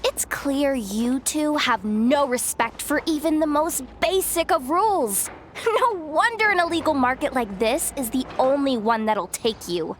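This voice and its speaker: High-voiced, savvy young fixer girl. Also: measured pace. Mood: scornful. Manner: confident, wry, knowing. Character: shrewd, self-assured young woman intelligence broker. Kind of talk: video game voice acting. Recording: faint background noise, game ambience.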